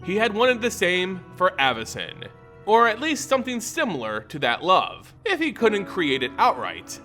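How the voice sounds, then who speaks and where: A man giving a dramatic, theatrical scripted narration of a fantasy story, with deliberate emphasis. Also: fairly high pitch, a bit bright. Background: music.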